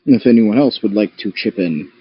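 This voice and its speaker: A man's voice: on the low side, animated, fairly quick, husky, no echo. A man playing a tabletop game, moving between casual chat and talking in character. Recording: dry, dull lo-fi audio.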